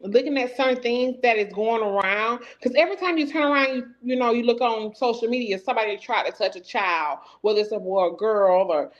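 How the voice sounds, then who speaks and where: A woman co-hosting a podcast in casual chat, talking haltingly with restarts and lots of "you know". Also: fairly high pitch. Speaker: podcast co-host. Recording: dull lo-fi livestream audio.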